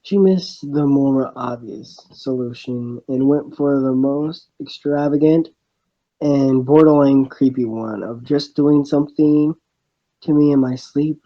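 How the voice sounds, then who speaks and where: A man's voice: mid-range voice, steady tone, a little slow, highs cut off, lightly acted. A man playing a wry, bemused young student who narrates his thoughts in a dry, sardonic way, in a visual novel.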